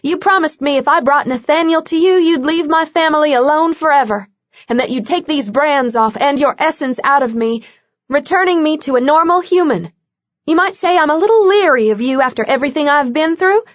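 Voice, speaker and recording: A woman's voice: high-pitched, dry recording, pitched above the performer's own voice. A woman voicing a bold young heroine who speaks firmly and demandingly in an audiobook. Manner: firm, demanding. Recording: dull lo-fi dry audio.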